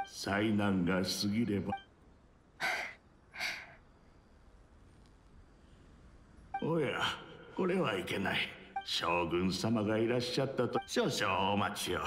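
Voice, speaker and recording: An actor playing a gentle, deferential elderly tea master in a Japanese video game, polite and hesitant. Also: male voice, lowish voice, expressive, measured pace. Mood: wistful, flustered. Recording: echoing voice over background music.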